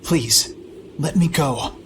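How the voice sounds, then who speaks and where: A man's voice: a little high, slow pace, background noise. A man playing a noble young knight captain in a video game, formal, solemn and earnest. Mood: solemn pleading. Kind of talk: dramatic video game voice acting.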